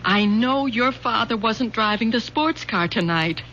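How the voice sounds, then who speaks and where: An actress playing a bitter, lonely middle-aged mother who speaks softly and sounds wounded, in an old radio drama. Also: on the high side, lively intonation, unhurried, a little hiss or hum. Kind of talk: 1970s radio drama acting. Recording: dull lo-fi old radio broadcast.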